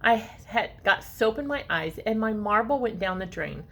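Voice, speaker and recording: A woman's voice: mid-range voice, animated, moderate pace, vocal fry, fairly bright tone, slight background noise. A woman voicing a grumpy, put-upon young boy in a storybook, complaining with whiny emphasis. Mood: disgusted.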